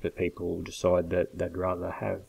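A man in his forties giving a blunt, persuasive sales pitch straight to a webcam from a small bare office. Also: monotone, moderate pace, creaky, a little mellow, slightly rough. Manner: blunt, persuasive, emphatic with hand gestures. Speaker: coffee business consultant. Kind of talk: direct-to-camera sales pitch. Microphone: webcam at desk.

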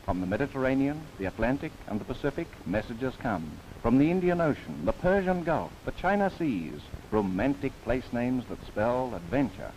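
A man's voice: medium pitch, big pitch swings, normal speed, few pauses, darkish tone, a little hiss or hum, poor audio. A man narrating a scripted 1930s government documentary in formal, theatrical phrasing with wry asides, on an old film soundtrack.

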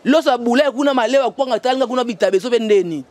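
A middle-aged Congolese woman ranting loudly and scolding into a handheld microphone outdoors. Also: on the high side, fast talker, a little hiss or hum. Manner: loud, scolding and animated, gesturing. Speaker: interviewee. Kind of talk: heated rant. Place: outdoors by a tiled wall. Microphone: handheld mic in front.

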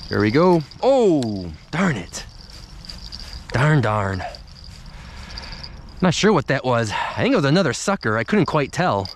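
A man's voice: medium pitch, sing-song, measured pace, pauses often, a little hiss or hum. Young man narrating his winter fishing outdoors. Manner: chatty, thinking aloud, mild surprise at catches. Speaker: fishing vlogger. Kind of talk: casual running commentary while fishing. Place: outdoors on a snowy riverbank in winter. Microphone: camera worn on the body or handheld at close range.

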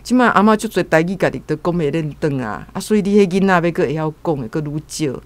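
A woman's voice: low voice, expressive, quick pace, dry recording. Earnest Taiwanese woman commentator. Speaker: guest commentator. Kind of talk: informal spoken commentary.

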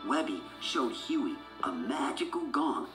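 Woman reading a kids storybook, deep voice, very expressive, bit echoey. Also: measured pace. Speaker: storybook narrator. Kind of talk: scripted children's story narration. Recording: roomy sound with music behind.

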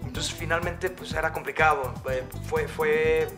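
A man's voice: on the high side, steady tone, a little slow, over music. A Mexican man in his thirties, an actor being interviewed on a sofa indoors, talking earnestly with many eh and este fillers. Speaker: actor guest. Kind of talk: casual interview. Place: indoor lounge on a leather sofa.

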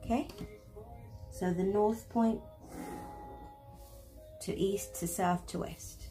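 A woman's voice: medium pitch, fairly even intonation, takes it slowly, dark tone, soft background music, poor audio. A woman talking viewers through drawing steps at her desk, chatty and self-deprecating. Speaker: art teacher. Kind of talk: step-by-step drawing tutorial.

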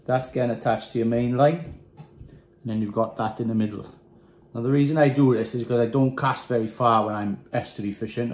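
A man in his thirties from Wales, calmly talking through tying a fishing rig at a desk. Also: mid-range voice. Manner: calm, plain, talking while tying knots. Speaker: angler demonstrating a rig. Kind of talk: casual step-by-step tutorial. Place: small indoor room with windows. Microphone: phone camera on the desk. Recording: echoey room.

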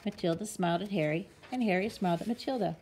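A woman with a slightly husky voice reading a children's picture book expressively as a bedtime story. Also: medium pitch, quick pace, darkish tone, a little hiss or hum.

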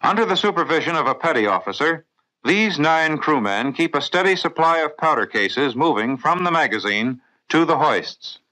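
A man with an American accent reading scripted mid-century instructional film narration for the navy in a clear, methodical, authoritative way. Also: fairly high pitch, lively intonation, normal speed, pushed and bright, rough recording. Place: voice-over recorded in a studio.

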